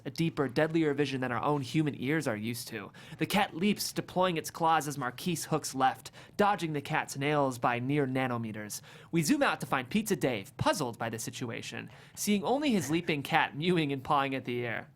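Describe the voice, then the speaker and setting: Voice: medium pitch, a bit bright. A narrator reading comedic screenplay stage directions aloud at a table read in a vivid, amused way.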